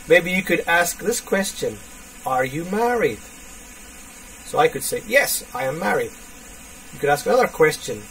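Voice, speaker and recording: A man in his thirties teaching a basic English lesson, speaking slowly and repeating phrases for learners. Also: on the high side. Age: 30s. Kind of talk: online English lesson for students. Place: indoors, small room. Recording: distant webcam mic with faint hiss.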